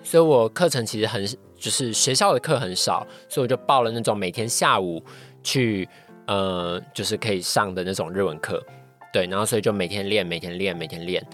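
A man's voice: fairly low pitch, brisk, fairly bright tone, soft background music. A young man with a Taiwanese Mandarin accent chatting casually as a podcast guest, recounting experiences a little hesitantly with repeated phrases. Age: young adult.

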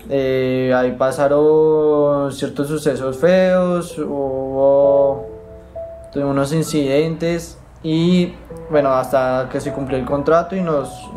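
A man from Bogotá with a Colombian accent, telling his story haltingly with many pauses, fillers and restarts. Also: on the high side. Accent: Bogotá Colombian Spanish. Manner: hesitant, many fillers and restarts, soft-spoken. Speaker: interviewee, migrant. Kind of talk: halting personal account.

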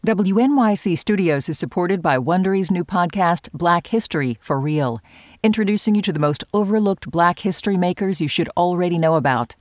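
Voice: mid-range voice, expressive, fairly quick, no echo. Dry, polished voice reading an ad. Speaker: ad announcer. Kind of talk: scripted ad read.

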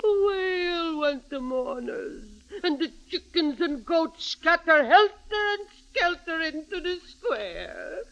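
An actress playing an effusive, wailing Egyptian mourner in a 1940s radio drama, theatrical and drawn-out, on a dull old recording. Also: female voice, very high voice, sing-song, fairly bright tone, exaggerated voice. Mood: rapturous, weepy.

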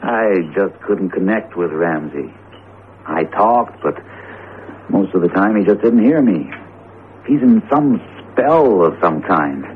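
An actor in a radio drama playing an earnest, determined teacher with deliberate, theatrical phrasing. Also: male voice, sits low, expressive. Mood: uneasy, confiding. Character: jumpy, determined male teacher confronting a cursed statue. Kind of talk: vintage radio drama acting. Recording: tinny, narrowband old radio broadcast, noisy.

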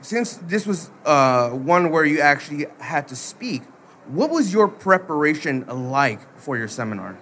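A chatty man hosts a podcast interview live from a busy convention floor, with a slightly high voice. He talks in an easygoing way and laughs often. Faint crowd noise sits behind him. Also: sing-song, a little slow, a bit bright. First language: English. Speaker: podcast host. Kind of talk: casual interview.

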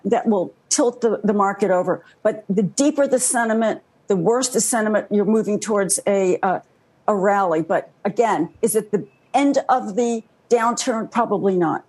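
A woman giving measured, analytical live market commentary as a guest strategist. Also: medium pitch, a little mellow. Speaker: chief equity strategist, TV guest.

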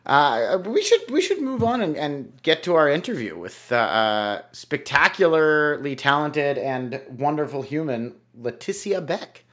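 A man's voice: a little high, very animated, a little slow, few pauses, a bit bright. A man co-hosting a casual podcast, cracking playful, wry jokes. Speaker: podcast co-host. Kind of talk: casual chat.

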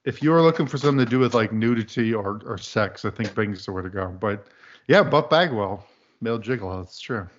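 A man in his thirties from the American Deep South, chatting casually as a podcast guest in a meandering, dryly humorous way. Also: animated, brisk, a little hiss or hum. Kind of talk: casual chat. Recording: dull lo-fi podcast audio.

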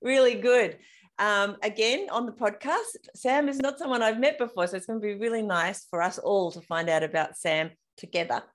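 A woman's voice: medium pitch, moderate pace. An Australian woman hosting a podcast, chatting casually and informally as an interviewer. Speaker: podcast host.

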